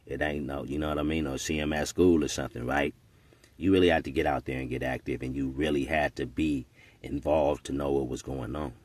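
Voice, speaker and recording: Very deep-voiced preachy man in his forties. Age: 40s. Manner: earnest and preachy, often tags "right?". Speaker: podcast commentator. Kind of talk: unscripted spoken monologue.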